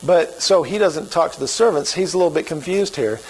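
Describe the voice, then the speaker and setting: A man's voice: on the high side, brisk, darkish tone, slight background noise, rough recording. A man with an Oklahoma accent preaching a sermon as pastor, in a folksy, conversational way.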